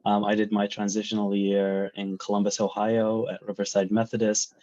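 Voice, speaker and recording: A man's voice: fairly low pitch, monotone, normal speed, warm tone, no echo, highs cut off. A young man from Iraq, a medical resident, introducing himself hesitantly with many fillers. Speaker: radiation oncology resident, panelist. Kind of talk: casual self-introduction.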